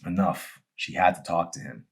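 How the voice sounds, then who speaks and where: A man with a low voice presents and reads his own fiction in an audiobook manner. His phrasing is deliberate and dramatic. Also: creaky, breathy edge. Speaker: author reading own novel. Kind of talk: audiobook-style narration with casual introduction.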